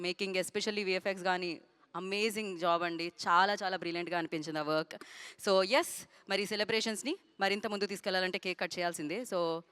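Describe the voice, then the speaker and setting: A woman's voice: medium pitch, a bit bright, clear, resonant tone. A woman with a Telugu accent hosting an event informally, switching often between Telugu and English. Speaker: event host.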